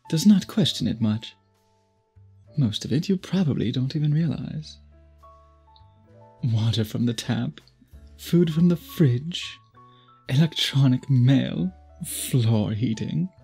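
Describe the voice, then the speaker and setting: An ancient vampire suitor acted by a man speaks in a very breathy, husky voice, slowly and deliberately. His phrasing is courtly and old-fashioned, brooding and possessive, in the close style of ASMR roleplay. Music plays behind him. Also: medium pitch, darkish tone, heavily acted. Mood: courtly, musing. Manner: formal, archaic, deliberate phrasing. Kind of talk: intimate ASMR roleplay audio drama.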